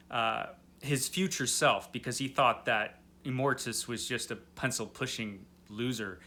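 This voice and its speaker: A man's voice: fairly high pitch, sharp tone. A tipsy man hosting a casual comic book review, rambling along in a wry, tongue-in-cheek way. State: drunk.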